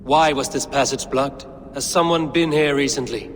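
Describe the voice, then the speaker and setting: A man's voice: medium pitch, fairly even intonation, moderate pace, a little hiss or hum, lightly acted. An actor playing a stern, suspicious Norse god king in a video game, with commanding, formal, archaic phrasing. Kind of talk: dramatic game cutscene acting.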